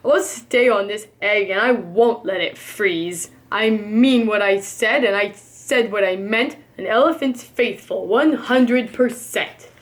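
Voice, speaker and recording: A woman voicing a huge, gentle, faithful male elephant from a children's storybook in an earnest, deliberate manner. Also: mid-range voice, natural voice. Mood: steadfast.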